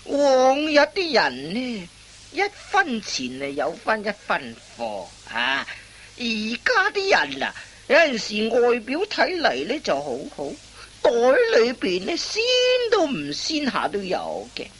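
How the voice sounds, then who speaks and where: A woman playing a veteran master thief, an exasperated mentor who scolds and lectures in drawn-out exclamations in a Cantonese radio comedy. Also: a little high, huge pitch swings, a bit bright, slightly rough, heavily acted. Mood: lecturing.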